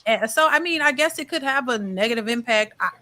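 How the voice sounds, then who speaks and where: A woman on a casual panel, hesitating and hedging as she thinks through her answer out loud. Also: fairly high pitch, expressive, brisk. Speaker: channel host and panelist.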